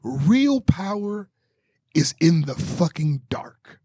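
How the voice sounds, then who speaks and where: A man from Miami co-hosting a podcast in casual group chat, animated and emphatic, musing out loud. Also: fairly high pitch, slow, dry recording, studio quality. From Miami, United States. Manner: animated, emphatic, muses aloud. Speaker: podcast co-host.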